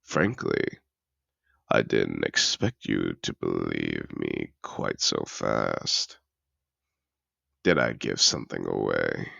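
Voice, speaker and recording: A man playing a seductive, possessive dragon in human disguise in an intimate fantasy audio roleplay, teasing and courtly in a slow, gravelly murmur. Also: a bit bright, no room sound, heavily acted. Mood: soothing, apologetic. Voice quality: very deep, gravelly. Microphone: close, dry microphone.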